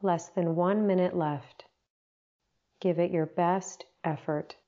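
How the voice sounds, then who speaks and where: A woman guiding a meditation in a gentle, unhurried, instructional manner, leaving long pauses between short phrases. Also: on the low side, steady tone, muffled recording. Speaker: meditation guide. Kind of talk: guided meditation narration.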